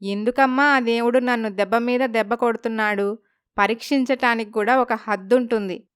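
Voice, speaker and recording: Lady doing a sad pleading village mom in a cartoon story, highish clear voice. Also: fairly even intonation, normal speed, no echo, clean studio sound, lightly acted. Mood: grieving. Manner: lamenting, pleading. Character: sorrowful, despairing village mother of young children.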